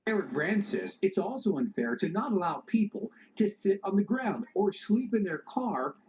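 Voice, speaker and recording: Mellow male TV news reporter. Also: high-pitched, sing-song. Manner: measured reporter delivery. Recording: dull TV clip audio.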